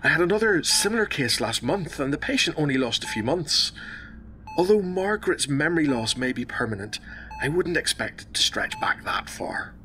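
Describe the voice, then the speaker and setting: A man playing a calm, measured hospital doctor in a radio drama, professional, reassuring and deliberate. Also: a little high, soft background music. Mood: measured, grave.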